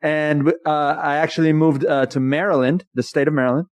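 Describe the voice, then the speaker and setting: A man in his thirties from Iran, a comedian telling his story in a casual interview with jokey, animated energy. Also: a little high, normal speed, no echo. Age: 30s. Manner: jokey and animated, often laughing mid-sentence. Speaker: guest, actor and comedian.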